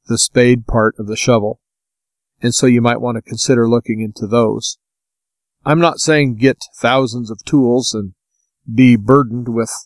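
A man hosting a talk podcast solo, giving practical, plainspoken advice in informal, deliberate phrases with short pauses. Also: medium pitch, no echo. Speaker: podcast host.

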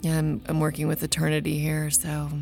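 An actress playing a cynical, bitter young vampire researcher in an audio drama, with a dry, sarcastic deadpan. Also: female voice, low-pitched, normal speed, creaky, soft background music. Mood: sardonic. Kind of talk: audio drama acting with first-person narration.

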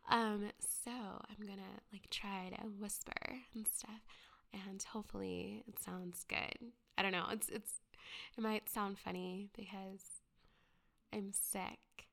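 A young woman with a stuffy cold, chatting softly and half-whispering as a ghost story podcaster, rambling with many fillers and a girly voice. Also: mid-range voice, flat delivery, slow, vocal fry. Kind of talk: soft whispered casual chat.

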